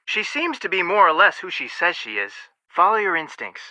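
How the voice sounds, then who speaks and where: Careful skeptical young detective dude in a game, really bright forward voice, dry studio sound. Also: male voice, high-pitched, big pitch swings, moderate pace, dry recording, heavily acted. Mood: reassuring. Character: cautious, level-headed, skeptical young male detective. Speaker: video game character voice actor. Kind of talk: scripted game phone dialogue.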